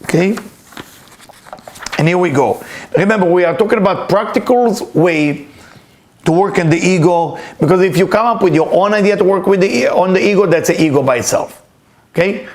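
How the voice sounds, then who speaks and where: A man's voice: high voice, moderate pace. A man, a Hebrew speaker, giving an earnest, emphatic weekly spiritual talk. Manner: earnest, emphatic, repeats key words. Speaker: spiritual teacher.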